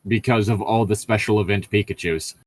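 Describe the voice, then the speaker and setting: A man's voice: fairly low pitch, fairly even intonation, fairly quick, no room sound. A man chatting casually as a podcast co-host, explaining things in a nerdy way and often restarting his sentences. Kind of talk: casual chat.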